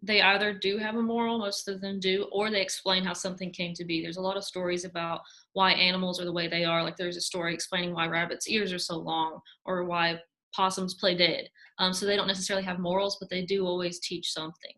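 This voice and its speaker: A woman presenting in a casual panel talk, explaining things in an even way. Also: on the low side, quick pace, rough recording. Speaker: student presenter.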